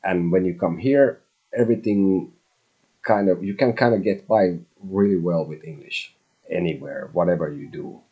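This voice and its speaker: A Finnish-accented man in middle age talks in a low, husky voice. He rambles casually as the host of a live tarot stream, restarting phrases and dropping the odd swear word. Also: normal speed, muffled recording. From Finland. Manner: loose and chatty, with restarts and mild swearing.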